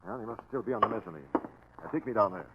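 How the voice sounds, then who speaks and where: An actor playing a resolute police captain giving brisk, authoritative orders in a 1940s radio crime drama. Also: male voice, on the low side, lively intonation, lightly acted. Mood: commanding. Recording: muffled, noisy 1940s radio recording.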